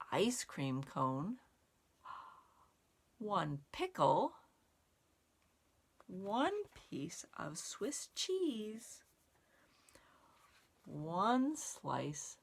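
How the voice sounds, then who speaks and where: A woman reads a picture book to small children, one careful phrase at a time. Her pace is extremely slow and deliberate. Her lowish voice moves up and down expressively and has a creaky, gravelly edge. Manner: simple, expressive phrasing aimed at young children. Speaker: storytime reader and sign language teacher. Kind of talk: children's read-aloud and sign lesson.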